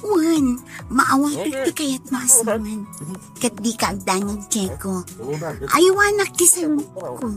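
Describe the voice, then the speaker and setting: A gentle, affectionate young woman with an Ilocano accent. She speaks slowly in a high, very lilting voice, in radio drama style. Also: lively intonation, exaggerated voice. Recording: radio drama with music underneath.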